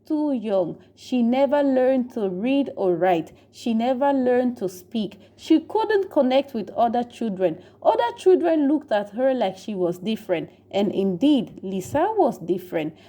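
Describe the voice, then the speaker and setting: A woman's voice: fairly high pitch, very animated, a little slow, hardly any pauses, warm tone. A woman narrating a scripted story in a dramatic storytelling style. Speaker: storyteller, channel host.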